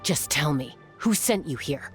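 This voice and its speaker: Resolute young game heroine: calm, earnest, fairly low, soft music under. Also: female voice, measured pace. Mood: serious. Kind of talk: game dialogue.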